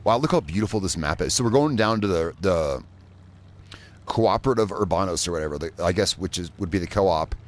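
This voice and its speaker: Casting note: rambling male game streamer from Indiana, lower voice, loose and profane. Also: animated, fairly quick. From Indiana, USA. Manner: rambling, chatty, swears freely. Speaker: gaming streamer. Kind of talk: casual live gameplay commentary.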